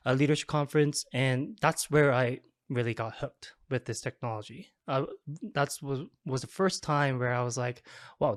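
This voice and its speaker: A young man from South Korea, a guest talking casually in an interview, relaxed and chatty. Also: medium pitch, no echo, clean studio sound. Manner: relaxed, chatty, frequent fillers and laughs. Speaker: guest, developer relations advocate.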